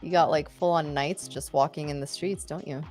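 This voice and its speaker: A woman's voice: sits low, fairly even intonation, moderate pace, a little mellow, faint music behind. A woman co-hosting a live trading stream, chatting playfully and laughing often as she comments on the market. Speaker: co-host of a live trading show. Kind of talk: casual chat and market commentary.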